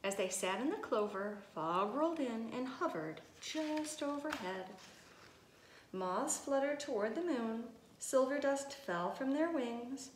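Preschool teacher lady reading a kids story, voice a bit high, super echoey room. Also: a little slow. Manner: simple, expressive phrasing addressed to children. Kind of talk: read-aloud storytelling for young children. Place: indoor room with tiled wall. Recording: echoey room with noticeable hiss.

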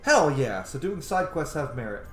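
A man's voice: a little high, very animated, normal speed, fairly bright tone. A man in his thirties chats casually through a game, swearing freely as he reacts. His voice rings in a roomy space, with game music behind him. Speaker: game streamer. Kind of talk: casual gameplay commentary. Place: indoor room. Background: video game music.

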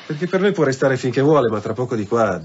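An actor playing a plain-spoken, practical local carabiniere in a radio drama. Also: male voice, mid-range voice, lively intonation, quick pace, a little hiss or hum, natural voice. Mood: matter-of-fact. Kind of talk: Italian radio drama acting. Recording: dull radio drama recording.

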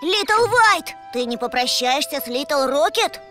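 An eager, caring young toy car in a children's cartoon. The voice is extremely high and lively, delivered at an unhurried pace with excitable exclamations. Music plays behind it. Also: female voice, heavily acted. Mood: urgent.

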